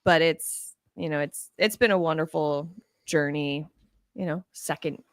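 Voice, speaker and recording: A woman's voice: deep voice, fairly even intonation, measured pace, smooth, dry recording, studio quality. A woman talking casually in a group interview as a theatre director. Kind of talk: casual panel chat.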